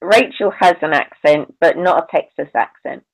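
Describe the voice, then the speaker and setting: A woman's voice: low-pitched, moderate pace, vocal fry, rough recording. Hesitant woman in casual chat. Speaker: participant in an online panel chat.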